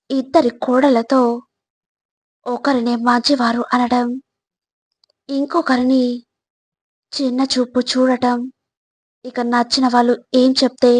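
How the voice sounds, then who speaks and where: A woman reading scripted motivational narration in a measured, instructive way, phrase by phrase. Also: fairly high pitch, no echo.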